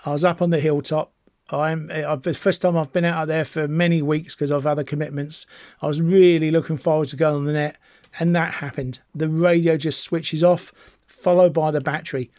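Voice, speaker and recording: A British man with a slightly high-pitched voice, recorded dry with very little room sound. He talks like an enthusiastic hobbyist presenting a home demonstration, informal and chatty, rambling along as he explains. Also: flat delivery, moderate pace, a little mellow, no echo. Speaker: amateur radio enthusiast presenting a video. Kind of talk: informal product demonstration to camera.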